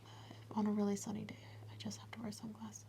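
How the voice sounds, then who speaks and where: A woman playing the patient in a soft-spoken ASMR exam role-play, giving brief, quiet answers close to a whisper. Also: medium pitch, completely flat delivery, fairly quick.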